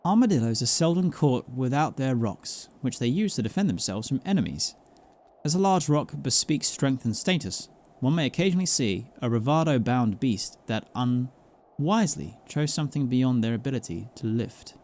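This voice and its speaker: A man reading game text aloud as a measured, scholarly narrator of beast lore, in a formal manner. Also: medium pitch, animated, darkish tone, voice pushed down, close to an everyday voice.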